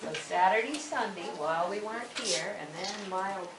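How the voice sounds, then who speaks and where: A woman's voice: medium pitch, normal speed. A woman speaking as an elementary school teacher, giving informal instructions and talking with young children in a classroom. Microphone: distant from the speaker. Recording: distant, echoey, very noisy classroom recording.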